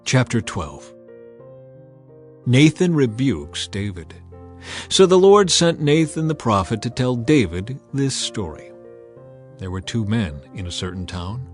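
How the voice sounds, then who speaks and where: A man giving a measured, solemn, dramatized narration of scripture as an audiobook reader. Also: mid-range voice, big pitch swings. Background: music.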